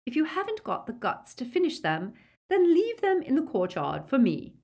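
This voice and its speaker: A woman voicing an unhinged, imperious villainous witch in an audiobook, sharp and commanding. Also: high voice, huge pitch swings, normal speed, pitched above the performer's own voice, exaggerated voice. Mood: furious, shrieking.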